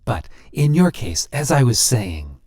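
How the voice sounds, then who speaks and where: A man voicing a suave, sinister stranger who collects souls, talking smoothly and businesslike, a little pious and simpering. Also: medium pitch, big pitch swings, unhurried, a little mellow. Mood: smooth menacing.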